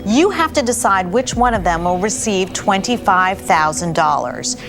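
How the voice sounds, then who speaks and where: A woman's voice: medium pitch, animated, moderate pace. A woman hosting a game show, presenting to and questioning a panel in scripted style. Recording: music bed behind the voice.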